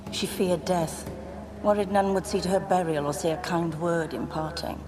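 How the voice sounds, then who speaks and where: A woman plays an otherworldly servant spirit from a fantasy game, her voice on the low side. She is aloof and sombre, choosing formal, stately words at a measured tread. Music plays clearly behind her. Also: close to an everyday voice. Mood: quietly solemn. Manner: formal, measured, stately old-fashioned phrasing. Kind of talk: video game cutscene acting. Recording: music under the voice.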